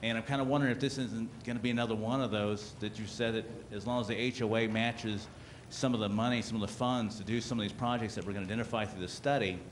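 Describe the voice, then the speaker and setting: A man's voice: on the low side, fairly even intonation, fast talker, few pauses, slight background noise. A man making a blunt, frustrated public comment at a community meeting.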